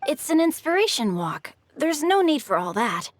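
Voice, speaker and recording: A woman playing a refined, bookish teenage boy in a video game, polite and measured, with a husky voice. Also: high-pitched, big pitch swings, dry recording. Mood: relaxed.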